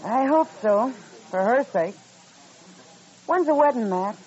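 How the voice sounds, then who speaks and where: An actress playing a worldly yet wistful American frontier woman in an old radio western, plain-spoken and expressive. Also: fairly high pitch, slow pace. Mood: matter-of-fact. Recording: dull old-time radio recording.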